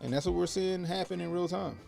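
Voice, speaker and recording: A man hosting a casual political commentary livestream, talking loosely with slang and repeating phrases for emphasis, in what he calls a monotone voice. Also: high voice, brisk, gravelly. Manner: self-described monotone voice. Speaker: livestream host. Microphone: podcast mixer setup. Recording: faint background music under the voice.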